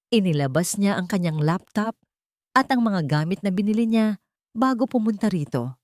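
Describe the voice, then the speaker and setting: A woman narrating a dramatic audio story in an emotive, expressive way. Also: medium pitch, no room sound, studio quality.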